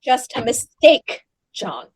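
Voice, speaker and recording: A woman's voice: high voice, sing-song, takes it slowly, a little hiss or hum, exaggerated voice. An actress playing a whiny, nagging wife who teases her husband in a comic radio play. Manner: whiny, plaintive, teasing.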